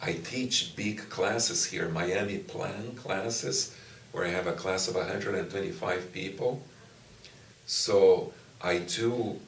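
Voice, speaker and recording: A middle-aged professor from Brazil whose first language is Portuguese talks slowly in a very low voice. He answers thoughtfully, with many pauses and restarts. The recording is roomy and taken from some distance, with faint ambience behind. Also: slight background noise. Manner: slow, thoughtful, with frequent "you know" and restarts. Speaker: university professor. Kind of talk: interview answers. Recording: echoey room, distant mic, faint ambience.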